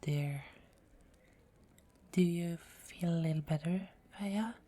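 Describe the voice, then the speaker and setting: Slow, mellow, teasing goddess played by a woman. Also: lowish voice, slight background noise. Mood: soothing. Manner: teasing, chuckling, unhurried. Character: teasing, self-assured Norse goddess, playful and soothing. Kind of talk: ASMR roleplay audio drama.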